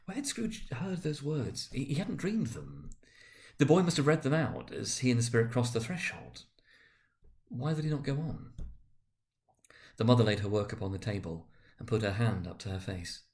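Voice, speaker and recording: A man narrating a literary story dramatically, with expressive, sometimes halting delivery. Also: mid-range voice.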